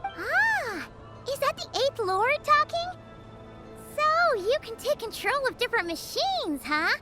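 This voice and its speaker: Tiny, squeaky, curious sprite. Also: female voice, big pitch swings, slow pace, over music, heavily acted. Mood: surprised, curious. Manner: inquisitive, exclaiming. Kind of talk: video game voice acting.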